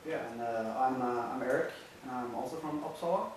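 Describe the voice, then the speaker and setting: A man's voice: medium pitch, fairly quick. A man introducing himself at a workshop, hesitant. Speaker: workshop participant. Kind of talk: self-introduction. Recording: echoey room from far off, noisy.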